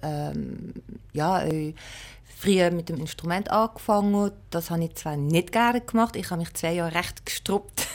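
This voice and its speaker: A woman's voice: fairly low pitch, unhurried. A woman from Belgium and Luxembourg, answering in a conversational radio interview, reflective and matter-of-fact. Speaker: musician interview guest, piano and singing teacher.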